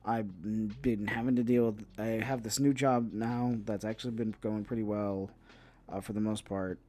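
Low-voiced man chatting about games. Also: steady tone, moderate pace, dark tone. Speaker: co-host of a gaming news podcast. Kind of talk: casual chat about gaming news.

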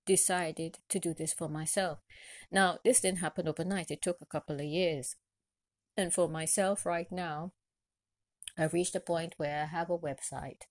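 A woman from Trinidad and Tobago hosts a solo podcast, sharing personal experience and encouragement with deliberate, repeated phrasing. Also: sits low, no room sound. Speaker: podcast host, chef and caterer. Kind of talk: solo podcast talk, motivational personal story.

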